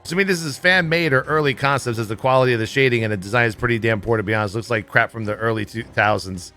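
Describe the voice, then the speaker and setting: A man's voice: medium pitch, fast, pushed and bright, faint music behind. A man giving casual, blunt, opinionated livestream commentary on gaming news, swearing freely as he goes. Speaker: livestream host.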